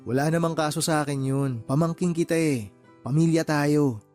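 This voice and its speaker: A man's voice: a little high, fairly even intonation, normal speed, a little mellow, faint music behind, natural voice. A man playing a caring, determined uncle in a radio drama, earnest, with a Filipino accent. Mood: earnest, encouraging. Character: caring, determined uncle who supports his niece's studies.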